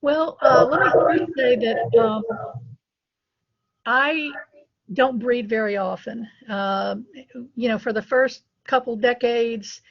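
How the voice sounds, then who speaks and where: A woman's voice: fairly high pitch, slow pace, lo-fi sound. A middle-aged woman, a dog breeder, telling anecdotes casually in an interview. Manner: chatty, anecdotal, laughs between stories.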